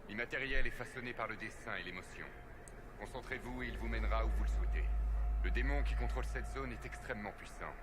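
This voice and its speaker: Guy voicing scholarly elf mage from a video game, mid pitch, measured and formal, noisy game ambience behind. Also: natural voice. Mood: fascinated, instructive. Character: scholarly elven mage, calm and fascinated by spirits. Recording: game audio under clear ambient noise.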